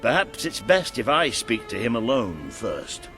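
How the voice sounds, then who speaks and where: An actor playing a gruff, seasoned British soldier mentor in a video game, speaking bluntly and with command. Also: male voice, mid-range voice, wildly swooping pitch, measured pace, fairly bright tone, big-room sound, faint music behind, full character voice. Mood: grim, earnest. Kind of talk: video game cutscene acting.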